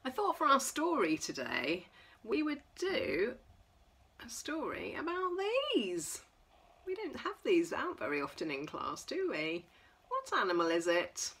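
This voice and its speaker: A middle-aged woman leading a children's storytime, reading a picture book aloud in an expressive, sing-song way for small children. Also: mid-range voice, measured pace, slightly rough. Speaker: toddler class leader. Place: indoors in front of a backdrop.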